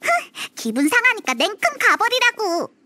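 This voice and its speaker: A woman voicing a tiny, cheeky childlike fairy companion in a video game, squeaky, perky and blunt. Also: sing-song, normal speed, noisy background. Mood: indignant. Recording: music behind the voice.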